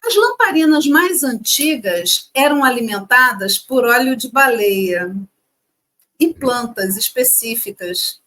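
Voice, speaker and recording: Woman, high voice, very lively pitch, playful co-host, casual history chat. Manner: animated, playful asides.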